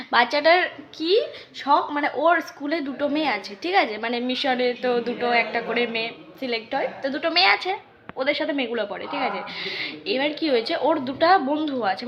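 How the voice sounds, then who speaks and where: A young woman chats like a casual vlogger. She tells her story in a teasing way, often pausing to check she is being followed. The recording is dull and lo-fi. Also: high voice. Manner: animated teasing, repeated check-in questions.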